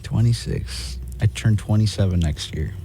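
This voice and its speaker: A man's voice: on the low side, moderate pace, dark tone, slight background noise. A man in his twenties, a radio show intern, giving short, shy answers in casual banter. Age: 20s.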